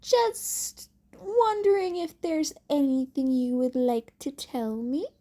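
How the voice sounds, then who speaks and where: A woman's voice: sits high, takes it slowly, mellow. A woman voicing a stern, insistent teenage girl who probes her friend, in a fan fiction reading. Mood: stern, probing. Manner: pointed, insistent.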